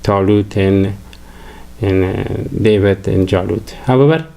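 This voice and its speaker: An older man from Afghanistan with an Afghan accent, a native Farsi speaker, giving a halting religious lecture full of fillers and restarts. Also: fairly low pitch, gravelly, dark tone. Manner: hesitant, many fillers and restarts.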